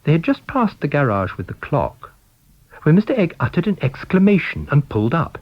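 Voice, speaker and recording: A British man reading a story aloud as scripted narration, with expressive, measured delivery. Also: a little high, highs cut off. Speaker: audiobook reader.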